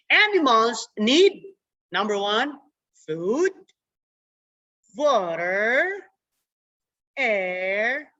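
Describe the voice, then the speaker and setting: Slow, singsong woman teaching kids. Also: medium pitch, pushed and bright. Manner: slow, repetitive, drawn-out words for children. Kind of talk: online class lesson for young children. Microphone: computer mic on a video call. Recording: dull lo-fi video call audio.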